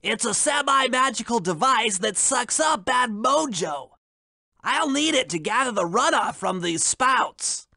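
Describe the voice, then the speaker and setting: An actor playing a nerdy, theatrical turtle mastermind in a video game, wordy and pedantic. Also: male voice, very high-pitched, animated, measured pace, creaky, sharp tone, no room sound, clean studio sound, exaggerated voice. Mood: explaining.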